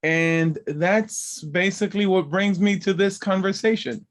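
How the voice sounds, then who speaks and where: A man's voice: high-pitched, measured pace. A middle-aged man from Israel with an Israeli accent, a native Hebrew speaker talking as a guest, talkative and digressive with many fillers and restarts. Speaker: guest, biblical languages professor. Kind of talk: conversational interview.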